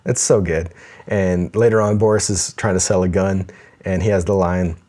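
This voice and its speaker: This is a man with a very deep voice, co-hosting a casual podcast. He talks with enthusiasm, laughs a lot and reads listener mail aloud. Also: fairly even intonation, moderate pace, dark tone. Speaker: podcast co-host.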